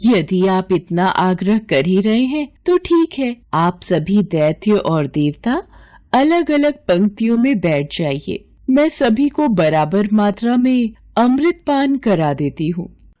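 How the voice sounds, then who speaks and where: A woman voicing a beautiful, coy and persuasive enchantress goddess with slow, formal delivery. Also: medium pitch, very animated, mellow. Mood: gracious, persuasive.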